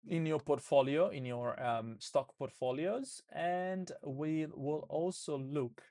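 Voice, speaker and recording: A man with an Australian accent, hoarse and losing his voice, walking viewers through trades in a loose live session full of fillers and restarts. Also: a little high, slow pace, mellow. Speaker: trading educator. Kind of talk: live trading session walkthrough.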